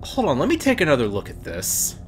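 Very deep-voiced woman, animated gaming commentary. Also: brisk, a little hiss or hum. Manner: thinking aloud, playful. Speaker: let's player. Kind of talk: casual let's play commentary.

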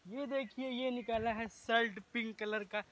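Excited young man vlogging outdoors. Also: extremely high pitch, unhurried. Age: young adult. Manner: excited, joking, talks straight to camera. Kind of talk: casual vlog narration. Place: outdoors at a farm house garden. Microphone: phone selfie camera at arm's length.

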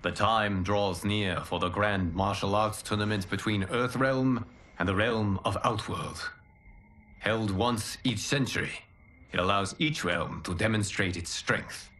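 An actor playing a calm, wise male mentor in a video game cutscene, speaking in a solemn, measured way. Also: lowish voice, slight background noise. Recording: game score behind the voice.

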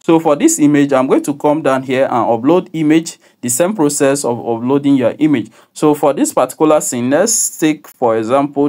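A man, hosting a software tutorial in an instructive, deliberate manner. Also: mid-range voice. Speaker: tutorial host.

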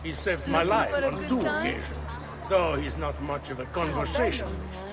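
Brief: male actor as fawning Italian noble plotter, video game, highish voice with lively melodic swings, music behind. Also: moderate pace, over a noisy crowd, lightly acted. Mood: composed. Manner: formal, obsequious. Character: deferential, courtly Venetian nobleman and plotter.

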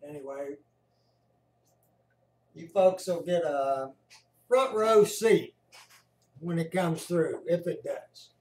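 A man with an American accent talks slowly in a fairly high voice, giving casual live commentary. His delivery is halting and unhurried, with a mellow tone, recorded in a roomy space. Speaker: amateur storm-watcher narrating a screen recording. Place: indoors at home by a computer. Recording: roomy echo.

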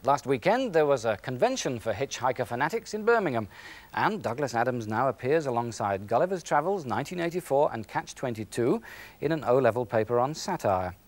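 A man's voice: medium pitch, very animated, a little fast. A middle-aged British man presenting a scripted television piece to camera in a studio.

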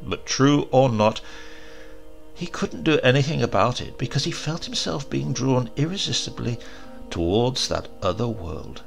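A man reads a sci-fi horror tale slowly, his delivery lively in pitch. His voice has a gravelly edge, and music runs under the narration. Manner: slow, expressive storytelling. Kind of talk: audiobook narration. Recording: reading over music.

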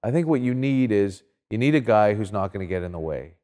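A man's voice: on the low side, expressive, fairly quick, darkish tone, in a large hall. A middle-aged man giving emphatic, opinionated sports talk in a casual interview. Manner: emphatic, checks in with "right?". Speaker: sports commentator guest. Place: small indoor studio set with couches.

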